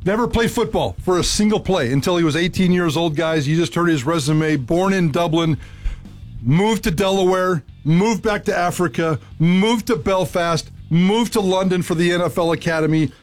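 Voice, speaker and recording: A man with a slightly high voice talks sports with enthusiasm, tripping over his words as he goes. Light music plays faintly under him. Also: normal speed. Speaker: sports radio co-host. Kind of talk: casual sports talk.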